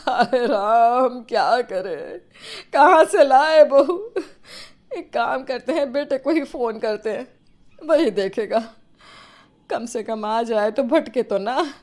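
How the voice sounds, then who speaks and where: Here is an actress as an exasperated middle-aged mother-in-law in an audio moral story. Her voice is fairly high. She scolds and nags theatrically. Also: expressive, takes it slowly, darkish tone. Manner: nagging, theatrical. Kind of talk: acted Hindi moral story.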